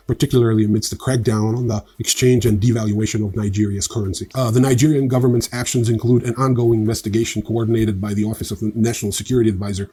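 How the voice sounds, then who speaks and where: Low-voiced, husky man hosting crypto news. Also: dark tone. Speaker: host of a crypto news show. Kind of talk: informal scripted news commentary.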